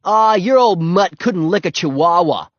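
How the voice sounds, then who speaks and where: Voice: mid-range voice, expressive, measured pace, no echo, dull audio, pitched above the performer's own voice, close to an everyday voice. A narrator voicing a brash, scoffing neighborhood boy in a children's storybook.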